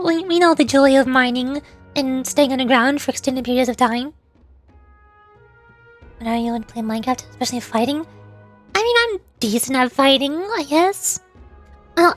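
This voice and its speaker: Girl streamer chatting over a game, high voice, giggly, bit of music under. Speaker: VTuber streamer. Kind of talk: casual livestream chat over gameplay.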